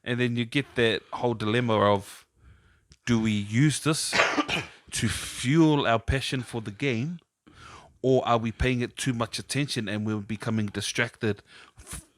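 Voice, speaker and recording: A New man co-hosts a sports podcast in a casual, conversational style. His voice is of average pitch and the recording is dry, without room sound. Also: a little slow, no echo. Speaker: podcast co-host.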